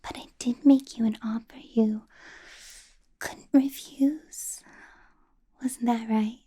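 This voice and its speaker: A woman voicing a doting, possessive vampire boss in a soft, breathy ASMR whisper, intimate and coaxing with quiet menace. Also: a little high, steady tone, slow, full character voice. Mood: coaxing, veiled menace.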